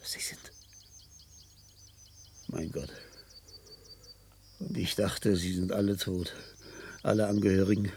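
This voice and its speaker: A man's voice: sits low, steady tone, slow pace, pauses often, darkish tone, slight background noise. An actor playing a broken, frightened older man in a German radio play, pleading and faltering as he repeats himself. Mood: shaken, haunted. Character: broken, frightened old official fleeing a prison camp.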